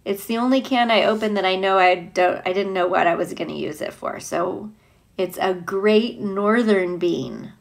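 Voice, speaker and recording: A woman's voice: mid-range voice, moderate pace, gravelly. A woman teacher reading a picture book aloud to children, chatting playfully and putting questions to her young listeners. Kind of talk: children's read-aloud with casual asides to young viewers.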